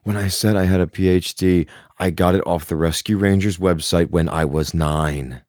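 A man with a moderately deep, very low voice chats as a podcast guest. He talks in a self-deprecating, joking way, with restarts and fillers. The sound is dry with no room echo. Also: steady tone, normal speed, darkish tone. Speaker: guest voice actor. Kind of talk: casual podcast chat.

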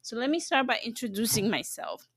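A woman's voice: fairly high pitch, sing-song, fairly quick, slightly rough, no room sound. Earnest woman podcast host from El Salvador. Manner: earnest, chatty, with frequent fillers and restarts. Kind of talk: casual personal podcast monologue.

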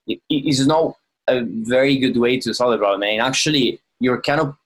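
A man's voice: mid-range voice, a little fast, poor audio. A man in casual conversation, speaking thoughtfully and acting out the remarks he quotes. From lives in London. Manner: reflective, acts out quoted remarks.

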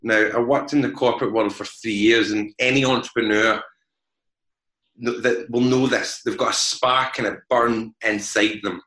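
A young man with a Glaswegian accent, talking frankly and deliberately slowly as a podcast guest. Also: on the low side, fairly even intonation, bright, forceful tone, echoey room, poor audio. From Scotland. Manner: frank, upfront, deliberately slowed speech. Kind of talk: candid podcast interview.

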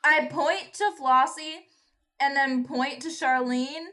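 A young woman playing a tabletop game, chatting bluntly and firing quick questions. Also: high-pitched, pushed and bright. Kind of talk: casual chat.

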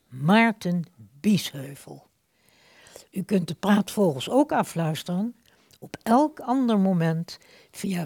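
A woman's voice: medium pitch, no room sound, clean studio sound. A woman announcer reading scripted closing credits for a radio programme.

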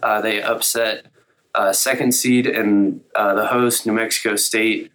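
A young man with a slightly raspy, recovering voice, co-hosting a casual sports chat and talking through results with many fillers. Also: fairly low pitch, flat delivery, unhurried, fairly bright tone. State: slightly raspy after illness. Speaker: co-host of a college sports podcast.

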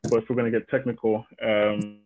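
A man's voice: lowish voice, level tone, a little fast, noisy background. A man from Zimbabwe, a guest on a video-call podcast, answering with many hesitations and restarts. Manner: hesitant, full of ums and restarts. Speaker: guest occupational therapist. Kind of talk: casual interview answer. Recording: tinny phone-like call audio.

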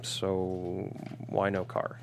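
A man's voice: deep voice, completely flat delivery, drawn-out words, vocal fry, a little hiss or hum, heavily acted. A man playing a cocky, sarcastic runaway rock musician at a script table read, with wry delivery. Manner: wry, sardonic.

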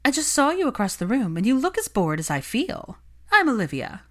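A woman voicing a young, smug society gossip and husband-hunter in an audiobook, with an affected, bright, confiding manner. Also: fairly high pitch, sing-song, fairly quick, voice pushed up. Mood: gushing.

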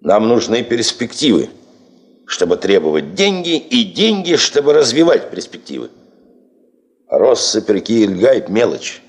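An actor playing a middle-aged, exacting expedition chief in a radio play, speaking with measured authority. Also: male voice, on the low side, wildly swooping pitch. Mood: stern, insistent. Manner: measured, authoritative, clipped orders. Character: commanding, exacting male chief of a gold-prospecting expedition.

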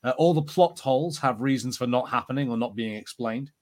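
A man's voice: medium pitch, fairly quick. A man chatting casually as a podcast co-host, with a wry, joking way of talking. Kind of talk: casual pop-culture chat.

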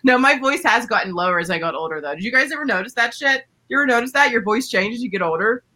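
A laid-back woman in her thirties chats casually as a podcast co-host, her voice bright and forward. She talks in easygoing slang and laughs often. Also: expressive, quick pace. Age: 30s. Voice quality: says her voice is deep. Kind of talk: casual chat.

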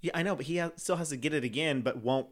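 A man's voice: a little high, rapid-fire. A man co-hosting a casual podcast, teasing and laughing often between fillers. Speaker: podcast co-host. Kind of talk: casual chat.

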